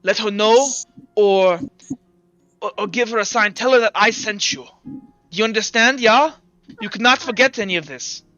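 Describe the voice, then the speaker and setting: Man playing an urgent, high-voiced kindly doctor. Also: bright, forceful tone, muffled recording, faint music behind. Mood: pleading, tender. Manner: halting, earnest, repeats himself. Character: grave, urgent, kindly doctor warning a boy.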